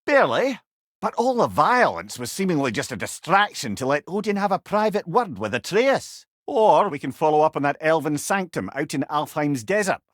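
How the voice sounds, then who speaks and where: A man's voice: fairly high pitch, big pitch swings, normal speed, a bit bright, no echo, studio quality. A man playing a witty, avuncular old Scottish counsellor in a video game, a severed head who talks in a wry, courtly, chatty way with salty turns of phrase. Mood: explaining. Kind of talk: video game character dialogue.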